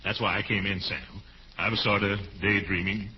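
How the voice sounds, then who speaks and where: An actor playing a blustery, jovial, thrifty middle-aged bachelor uncle in a 1940s radio comedy, with a drawn-out delivery. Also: male voice, on the low side, fairly even intonation, lightly acted. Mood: wistful chatting. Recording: dull, hissy vintage radio recording.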